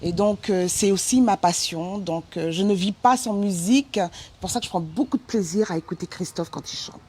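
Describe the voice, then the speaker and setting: A woman's voice: mid-range voice, a little fast, darkish tone, slightly rough. A woman from French Guiana, a guest on a live show, explaining things in a casual, filler-heavy way. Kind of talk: casual interview chat. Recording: very noisy live stream.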